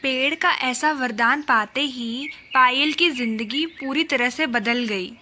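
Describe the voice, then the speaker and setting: A woman's voice: high voice, slow pace, sharp tone, slight background noise. A woman reading a scripted children's story as its narrator.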